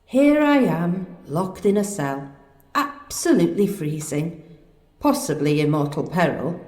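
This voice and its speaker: A woman playing a sheltered, spirited young princess in a radio play, chatty, polite and expressive. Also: medium pitch. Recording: echoey, reverberant cell.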